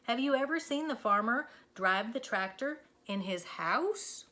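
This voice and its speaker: An eager storybook cow full of big ideas, played by a woman reading aloud. The voice is high and emphatic, explaining each scheme slowly and insistently. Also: animated, sharp tone. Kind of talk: children's storybook read-aloud.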